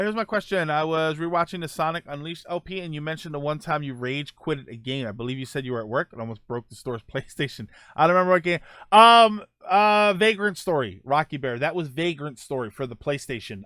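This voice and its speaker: A man's voice: a little high, brisk, few pauses, pushed and bright, smooth, no room sound, studio quality. A middle-aged man hosting a casual live stream, answering viewer questions in a rambling way with many fillers and restarts.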